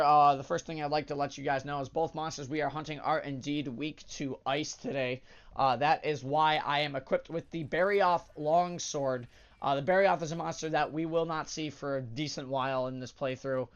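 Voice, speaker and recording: A young man with a hoarse, sore-throated voice, casually commenting on a game he plays, with filler sounds. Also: on the high side, steady tone, normal speed, lo-fi sound. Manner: casual, upbeat explanatory, frequent "uh" fillers. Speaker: gaming channel host. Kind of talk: let's play commentary. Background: game music.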